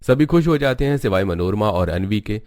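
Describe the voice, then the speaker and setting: A man's voice: lowish voice, expressive, moderate pace, darkish tone, no echo, studio quality, soft background music. A man reading scripted narration for a cartoon story as its narrator.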